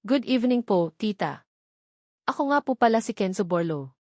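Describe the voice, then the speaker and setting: A woman's voice: medium pitch, animated, normal speed, darkish tone, no echo, close to an everyday voice. A serious and courteous young billionaire from a romance audiobook, voiced by a woman narrator in her mid-range. The sound is very dry. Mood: serious, polite.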